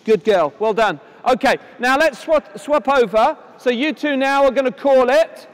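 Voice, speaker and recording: A man coaching children at tennis drills, giving brisk encouraging instructions and projecting his voice loudly. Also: very high voice. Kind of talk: on-court coaching demonstration. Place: indoor tennis hall at a conference. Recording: echoey hall, voice from a distance.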